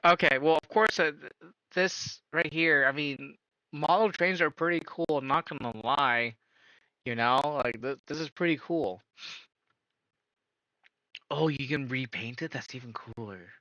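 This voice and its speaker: A man's voice: fairly high pitch, a little slow, long pauses, pushed and bright, no room sound, highs cut off. A man live-streaming a casual reaction, incredulous and firing off repeated questions.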